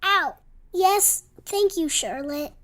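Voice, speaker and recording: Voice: very high voice, slow, bright, forceful tone, a little hiss or hum, heavily acted. A performer playing an innocent, blunt little boy in an audio melodrama, plaintive and simple in delivery. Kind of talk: melodramatic audio drama acting.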